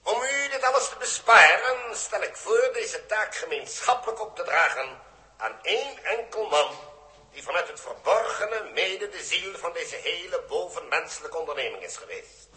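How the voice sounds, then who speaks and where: A man's voice: high-pitched, sing-song, moderate pace, sharp tone, exaggerated voice. An actor playing a middle-aged, fatherly chief engineer of a space base in a formal 1960s radio drama style. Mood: solemn, proud. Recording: echoey hall, old radio recording.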